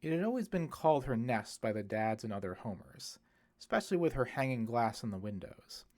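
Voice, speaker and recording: A man narrates a novel as a scripted audiobook. His voice is medium in pitch and moves through a wide, animated range as he tells the story. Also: brisk.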